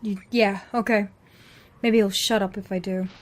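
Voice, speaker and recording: A woman's voice: medium pitch, fairly even intonation, moderate pace, close to an everyday voice. An actress playing a brusque but caring woman survivor in an audio drama, speaking informally. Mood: brusque, exasperated.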